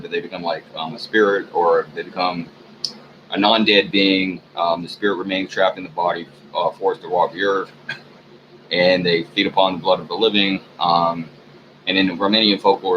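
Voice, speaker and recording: A man with a very deep voice rambles through a sleepy, unscripted monologue at home, stopping often for ums and lost words. The recording sounds dull and muffled, with a clear hiss under it. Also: little pitch movement, normal speed. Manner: meandering, frequent ums, sleepy and low-key. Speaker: vlogger. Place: room at home.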